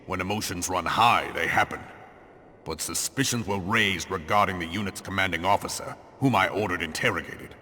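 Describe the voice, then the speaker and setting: An actor playing a stern, blunt military commander in a fantasy video game, speaking gravely in formal, archaic phrasing. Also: male voice, deep voice, moderate pace, pushed and bright. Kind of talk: scripted video game drama.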